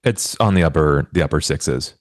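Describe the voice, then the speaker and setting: Deep-voiced man playing a tabletop game. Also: moderate pace, no echo, studio quality. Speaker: player in a tabletop RPG. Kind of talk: casual brief replies during a game.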